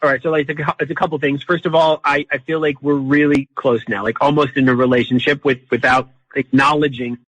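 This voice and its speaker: A man calling in to a radio show, telling his story casually in an earnest, halting way. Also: fairly high pitch, level tone, fairly bright tone, no room sound, dull audio. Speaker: caller.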